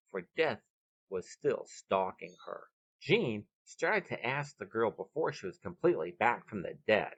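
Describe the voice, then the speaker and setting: A man's voice: fairly low pitch, a bit bright, husky, no room sound, dull audio. A man hosting and narrating a scripted short story podcast with dramatic, emphatic delivery, recorded outdoors on a veranda. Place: veranda of a bed and breakfast, outdoors.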